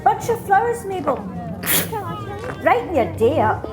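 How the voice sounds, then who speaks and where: A woman's voice: very high-pitched, big pitch swings, measured pace, over music, full character voice, rough recording. A woman playing a chatty, gossipy Scottish flower seller in a radio play. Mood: friendly, wistful. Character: chatty, admiring female flower seller, sniffly and wistful. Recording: street bustle behind voice.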